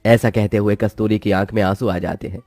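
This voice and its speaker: A man's voice: on the low side, flat delivery, a little fast, a little mellow, no echo. A man reading a scripted moral story as its narrator, with music behind him.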